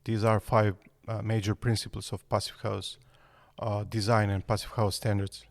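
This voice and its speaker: A man's voice: fairly low pitch, level tone, normal speed, creaky, no room sound, studio quality. A man, answering podcast interview questions hesitantly with many fillers. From Serbia. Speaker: guest architect.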